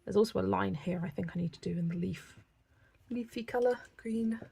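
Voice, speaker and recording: A woman's voice: mid-range voice, a little fast, vocal fry, husky. A woman from England, chatting casually and reading viewer comments while she colours on a livestream. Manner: chatty, relaxed, thinking aloud with small laughs.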